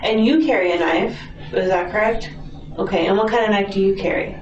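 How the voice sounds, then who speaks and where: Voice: mid-range voice, a little fast. Distant, echoey detective asking questions. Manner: patient, neutral prompting. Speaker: police detective interviewing a suspect. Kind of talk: questioning with short acknowledgements. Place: indoor police interview room. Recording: echoey room, distant muffled mic.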